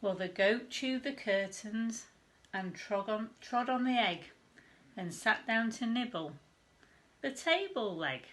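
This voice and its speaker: Slow, bright woman reading a children's story. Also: fairly high pitch. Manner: expressive, deliberate phrasing. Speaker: primary school staff reading a bedtime story. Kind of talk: scripted rhyming storybook narration for children.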